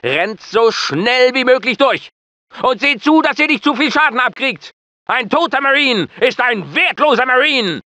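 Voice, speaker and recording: Military drill sergeant for a video game, bossy and insulting, super high voice, bright and forceful. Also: male voice, lively intonation, a little slow, no room sound, full character voice. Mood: stern, commanding. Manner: commanding, clipped, insulting. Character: hard-barking, insulting military drill sergeant, adult man. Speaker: drill instructor. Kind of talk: video game voice acting, German dub.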